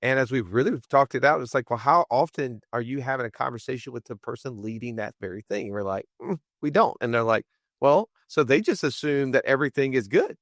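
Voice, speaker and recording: Fired-up raspy male podcast host. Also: brisk, fairly bright tone, no echo, clean studio sound. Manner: upbeat, hyped, salesy pitch. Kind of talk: energetic podcast chat.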